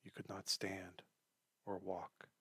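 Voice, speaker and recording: Guy doing guided meditation, soft breathy gravelly voice, slow gentle phrases, really dry close sound. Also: sits low, monotone, no room sound. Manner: gentle, unhurried with long pauses. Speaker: meditation teacher.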